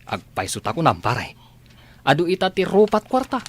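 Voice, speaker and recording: A man's voice: on the high side, wildly swooping pitch, normal speed, slightly rough, no echo, heavily acted. An actor with an Ilocano Filipino accent playing a plain-spoken, grumbling family man in a radio drama. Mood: chatty.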